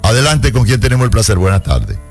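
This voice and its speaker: A man hosting a radio call-in show as a pastor, teaching on the Bible and stressing points slowly. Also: on the low side, sing-song, faint music behind. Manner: emphatic, slows down to stress points.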